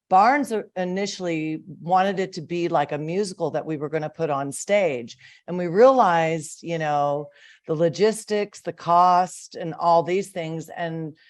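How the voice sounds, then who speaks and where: Lowish-voiced woman chatting as a guest. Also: dry recording, studio quality. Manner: appreciative, effusive. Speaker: band member, guest. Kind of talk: casual interview chat.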